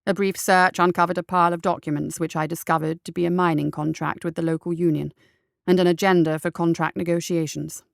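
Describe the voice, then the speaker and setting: A woman reading as a haunted private investigator writing home, formal, sombre and measured, in an audio drama. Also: lowish voice, little pitch movement, gravelly, dry recording, studio quality. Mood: grim, troubled. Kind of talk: audio drama letter narration.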